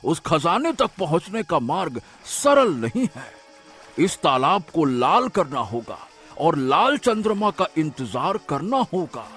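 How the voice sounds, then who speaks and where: A man voicing a sly, sinister tantric sorcerer in a cartoon story, with a gravelly, husky voice and a wheedling, drawn-out delivery. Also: a little high, big pitch swings, a bit bright, faint music behind, heavily acted. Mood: ominous.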